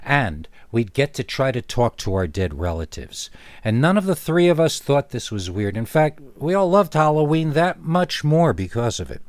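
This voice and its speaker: A man reading a first-person scary story as a narrator, expressive and dramatic, leaning on words with deliberate pauses. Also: medium pitch, no echo.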